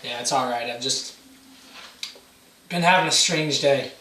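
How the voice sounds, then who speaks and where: A man's voice: mid-range voice, a little slow, bright, forceful tone. A young man playing an uneasy, evasive regular guy with a hesitant, casual delivery in an amateur stage play. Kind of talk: amateur one-act stage play dialogue. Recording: echoey room, distant mic, hissy noise.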